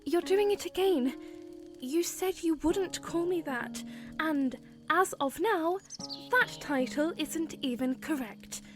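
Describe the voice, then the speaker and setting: Gentle young princess , played by a woman, high and unhurried, earnest, for fantasy audio drama. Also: animated, music in the background, full character voice. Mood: insistent. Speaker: voice actor.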